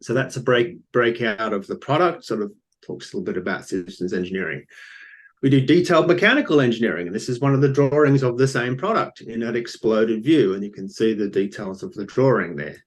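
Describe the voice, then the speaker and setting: An older man with an Australian accent presents his engineering company at a pitch event, explaining in a loose, unscripted way with frequent ums and restarts. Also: fairly quick.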